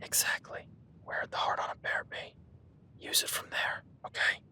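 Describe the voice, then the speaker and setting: A man playing a gruff cowboy hunter in an audio roleplay, whispering while stalking and swearing and giving orders in a crisis. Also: normal speed, sharp tone. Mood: hushed, tense. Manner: swearing, commanding, urgent. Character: gruff rugged cowboy hunter tracking a beast. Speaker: ranch hand tracking a beast.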